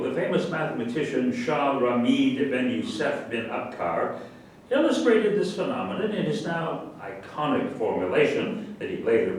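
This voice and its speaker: Heard from far off in a very echoey room, a man playing a pompous, easily offended general holds forth in a staged play reading. His emphatic lecturing swings widely in pitch, with grand self-importance. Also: close to an everyday voice. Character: pompous, indignant male general lecturing pseudo-scientific nonsense. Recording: distant echoey room with faint rumble.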